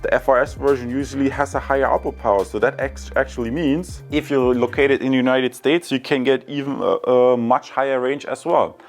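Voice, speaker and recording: A man's voice: mid-range voice, fairly quick, few pauses, faint music behind. A man hosting a product review, giving a casual, unscripted summary in an upbeat way, addressing viewers directly. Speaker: product reviewer, video host.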